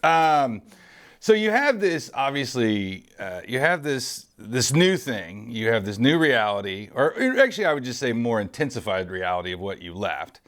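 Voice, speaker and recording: A man's voice: mid-range voice, very animated, moderate pace, fairly bright tone. A man in his early forties hosting a casual interview, rambling and correcting himself, laughing easily. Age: 40s. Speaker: host.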